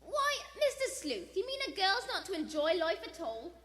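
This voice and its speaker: An actress plays a spirited, defiant young daughter in an old radio play, heard in a reverberant hall. Her very high, bright voice swings freely as she speaks up perkily. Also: sing-song, moderate pace, exaggerated voice. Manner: perky, outspoken. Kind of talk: live vintage radio play acting. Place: theater stage before a live audience. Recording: hall reverb of a live theater.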